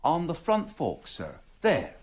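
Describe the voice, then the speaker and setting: A keen young constable in an English radio drama. His voice is fairly high and leaps about wildly in pitch, yet stays clipped and deferential. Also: huge pitch swings, slow, heavily acted. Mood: eager.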